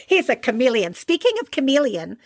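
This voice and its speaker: A woman's voice: high-pitched, big pitch swings, fairly quick. A middle-aged woman co-hosting a podcast in casual chat, teasing and laughing easily. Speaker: co-host.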